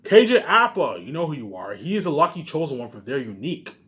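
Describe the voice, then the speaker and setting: Fast-talking man, boastful and rambling. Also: on the high side, big pitch swings, fairly bright tone. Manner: repetitive, often tacks on "obviously" as a tag; boastful, emphatic. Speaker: amateur filmmaker pitching movie ideas. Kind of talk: rambling off-the-cuff monologue.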